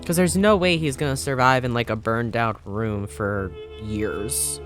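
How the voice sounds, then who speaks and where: A man's voice: medium pitch, a little slow, fairly bright tone, faint music behind. A man hosting a let's-play with casual, slangy, offhand commentary. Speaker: gaming host.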